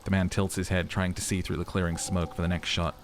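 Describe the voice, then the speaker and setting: Low voiced guy doing a game playthrough, dramatic narration plus sweary casual asides, faint noise behind. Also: little pitch movement, fast talker. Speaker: let's player.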